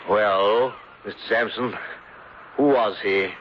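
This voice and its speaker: An actor playing a falsely solicitous, scheming uncle with a smooth, avuncular manner in an old-time radio drama. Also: male voice, lowish voice, steady tone, slow pace. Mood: smoothly solicitous. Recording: dull old radio broadcast, faint noise.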